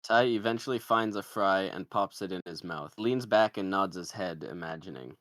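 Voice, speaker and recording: Male screenplay narrator, very low register, dry sound, scripted comedy directions. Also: moderate pace, a bit bright, no echo.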